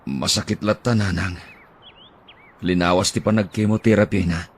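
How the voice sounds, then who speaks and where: A man's voice: sits low, fairly even intonation, normal speed. Man playing an earnest, reassuring husband. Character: dutiful middle-aged husband and son, earnest.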